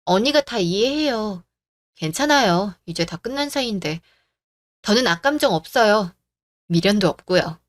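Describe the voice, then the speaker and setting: A woman voicing a calm, firm young woman who argues in a reasoned way in a story radio drama. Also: medium pitch, normal speed, dry recording, studio quality, natural voice. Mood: gentle.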